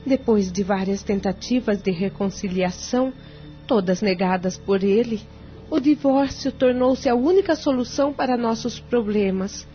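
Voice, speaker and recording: An actress plays an anguished, remorseful wife in an audio drama. Her voice is high, and she confesses in formal, emotional phrases. The recording is slightly dull, with music behind her. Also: moderate pace, lightly acted. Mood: sad, resigned.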